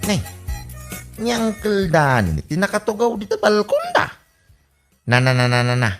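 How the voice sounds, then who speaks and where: A performer playing a cheeky, excitable young relative who taunts an uncle, giggly and exaggerated, in an Ilocano radio comedy drama with an Ilocano Filipino accent. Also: medium pitch, unhurried, soft background music. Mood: teasing.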